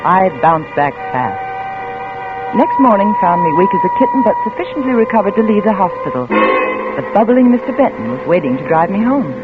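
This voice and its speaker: An actress playing a sardonic, suspicious insurance investigator in a vintage radio drama, with dry, clipped delivery. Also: female voice, medium pitch, very animated, a little fast, loud music behind the voice, natural voice. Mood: wry recollection. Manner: dry, wry, clipped retorts. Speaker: radio actor and first-person narrator. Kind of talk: 1940s-50s radio drama acting. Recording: noisy, tinny old radio recording.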